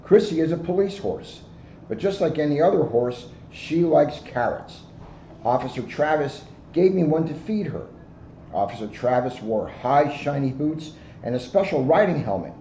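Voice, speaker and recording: A police officer, a man, reading a storybook aloud to children in an expressive, deliberate way. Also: fairly high pitch, dull audio. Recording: roomy, band-limited, faint background noise.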